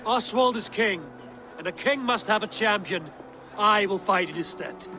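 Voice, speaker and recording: An actor playing a stoic, blunt and loyal Viking warrior in a dramatic video game. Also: male voice, high voice, normal speed. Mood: firm. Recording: music behind the voice.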